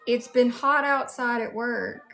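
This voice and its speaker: Unhurried high-voiced Southern US woman livestreamer. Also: steady tone, gravelly, sharp tone, soft background music. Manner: unhurried, chatty, addressing viewers one by one. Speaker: livestream host. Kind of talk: casual livestream chat, greeting viewers.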